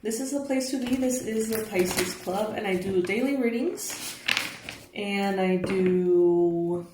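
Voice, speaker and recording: A young woman hosting her own tarot channel, her voice raspy and hoarse from just waking up, chatting casually with fillers and soft laughs. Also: medium pitch, slow. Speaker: tarot reader, channel host. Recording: echoey room, faint noise.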